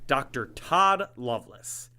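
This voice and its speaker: Man playing an excitable, indignant new prisoner. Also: mid-range voice, slow pace, slight background noise, exaggerated voice. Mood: defiant. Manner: excitable, incredulous. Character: indignant, bewildered new male prisoner, a mouthy doctor. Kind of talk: audio drama acting.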